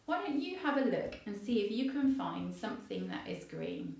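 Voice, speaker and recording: A woman's voice: mid-range voice, huge pitch swings, normal speed, darkish tone, a little hiss or hum. A woman reading a story and chatting to young viewers in simple, child-directed phrases. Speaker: playgroup story reader and presenter.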